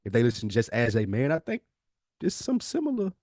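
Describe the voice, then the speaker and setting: A man's voice: medium pitch, wildly swooping pitch, fairly quick, a little mellow, dry recording, studio quality. A man co-hosting a podcast in casual conversation, with a laid-back, slangy way of talking. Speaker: podcast co-host.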